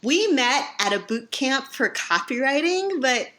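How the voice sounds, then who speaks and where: A woman's voice: high-pitched, big pitch swings, a little slow, pushed and bright. A woman hosting a podcast, asking questions in a casual, conversational way. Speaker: podcast host, interviewer. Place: remote online call.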